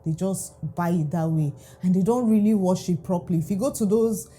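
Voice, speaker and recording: A woman's voice: on the low side, a little fast, mellow, faint music behind. A Nigerian woman whose first language is Igbo, chatting animatedly to camera about food safety and laughing often. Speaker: food vlogger. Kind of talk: casual talk to camera.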